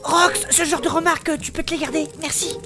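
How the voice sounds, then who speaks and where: A woman's voice: extremely high pitch, steady tone, a little fast. A woman voicing a bossy, sensible female adventurer in an audio fiction. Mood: scolding. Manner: scolding, bossy.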